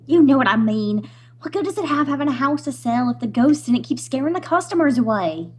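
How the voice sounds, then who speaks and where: A woman's voice: high-pitched, animated, fairly quick, darkish tone, husky. A woman playing a nervous, easily spooked young wife in an old-time radio comedy, anxious and wryly pleading. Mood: disheartened.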